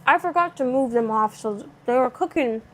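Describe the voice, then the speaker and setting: A woman's voice: high-pitched, lively intonation, normal speed, background noise. A young woman from Southern California with a light Californian accent, chatting casually with viewers while she cooks in her home kitchen and reads out their comments. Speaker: vlogger cooking live and answering chat. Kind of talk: casual live-stream chat.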